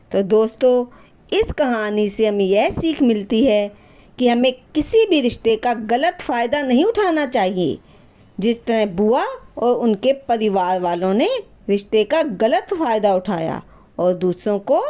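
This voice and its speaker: A woman narrating a scripted story as a host, expressive and speaking directly to her listeners. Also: on the high side, dull audio.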